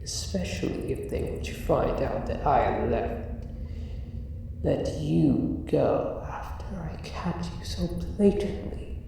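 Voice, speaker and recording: A man voicing a huge, condescending dragon who guards his treasure, speaking in a deliberate, mock-polite way in an improvised ASMR roleplay. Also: fairly high pitch, lively intonation, vocal fry, warm tone, full character voice. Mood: pondering. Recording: roomy echo, distant, faint noise, cave ambience. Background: cave ambience.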